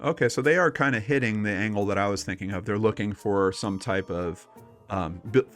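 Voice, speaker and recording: A man's voice: on the low side, brisk, a bit bright, faint music behind. Casual man co-hosting a paranormal chat. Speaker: podcast co-host. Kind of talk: casual paranormal discussion with personal anecdotes.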